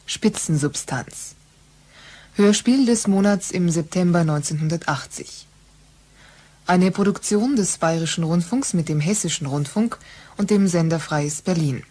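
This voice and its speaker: Female radio announcer, scripted read, slightly deep register, husky tone. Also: measured pace, mellow.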